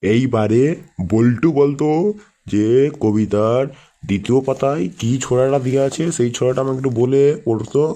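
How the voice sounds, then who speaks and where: A man's voice: medium pitch, fairly even intonation, moderate pace, dark tone, close to an everyday voice. A man voicing a strict, hot-tempered schoolteacher in a comic cartoon, scolding and blustering. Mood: stern, instructing. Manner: scolding, blustering, coarse-tongued. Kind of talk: comic cartoon dialogue in Bengali village school skit.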